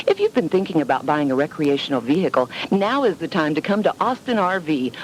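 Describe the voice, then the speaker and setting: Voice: medium pitch, a little hiss or hum, rough recording. An announcer reading a scripted promotional radio advertisement on an old radio recording. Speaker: commercial announcer.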